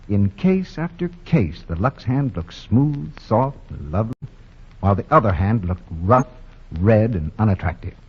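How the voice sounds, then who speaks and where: A man's voice: lowish voice, slightly rough, dull audio. A male announcer reading a radio commercial with emphatic salesman delivery, on an old 1940 radio broadcast.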